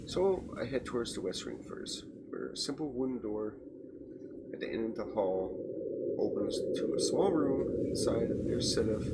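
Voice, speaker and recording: A man's voice: fairly low pitch, gravelly. A man reading a game's text aloud as a let's play host, stumbling here and there and adding casual asides. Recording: very noisy home recording.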